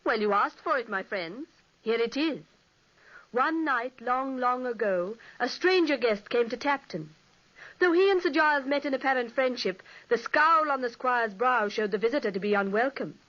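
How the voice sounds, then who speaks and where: A woman's voice: fairly high pitch, expressive, normal speed, a bit bright, dull audio. An actress playing a young woman telling a ghost legend dramatically in an old radio play. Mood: spooky. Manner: dramatic storytelling. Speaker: storytelling sister. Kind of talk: 1940s radio acting.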